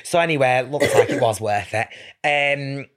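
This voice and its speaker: A man's voice: mid-range voice, normal speed, fairly bright tone. A man in his twenties chatting casually as a podcast guest, telling anecdotes in a jokey, self-mocking, giggly way. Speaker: podcast guest, reality TV personality.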